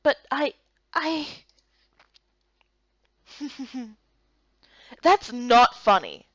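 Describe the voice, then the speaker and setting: A woman voicing a spirited, incredulous young guard recruit in a visual novel game, expressive and questioning. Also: high-pitched, very slow, no echo, dull audio, heavily acted. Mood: indignant.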